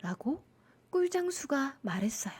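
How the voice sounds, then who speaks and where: A woman narrating a children's bedtime folktale in an expressive storytelling style. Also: high voice, a little slow.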